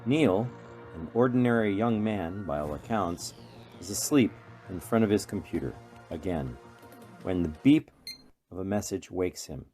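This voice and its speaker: A man reads scripted dramatic narration for a radio play. His pace is slow and deliberate, his pitch on the low side, with soft music behind him. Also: lively intonation.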